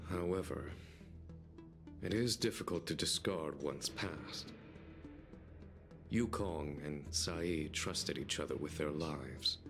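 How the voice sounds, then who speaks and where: Video game general: very low voice, composed and eloquent, formal phrasing, clear music underneath. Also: male voice, exaggerated voice. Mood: grave, considerate. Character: calm, dignified male army general, gently wry. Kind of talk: dramatic video game dialogue.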